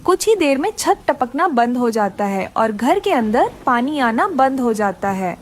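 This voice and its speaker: A woman's voice: on the high side, big pitch swings, unhurried, a little hiss or hum. A woman narrating a scripted children's story.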